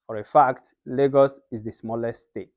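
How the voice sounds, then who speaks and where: A man's voice: mid-range voice, fairly even intonation, moderate pace, dry recording. A young man from Nigeria with a Nigerian accent, a guest in a casual interview who explains things in an earnest way. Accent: Nigerian English. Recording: slightly muffled lo-fi sound.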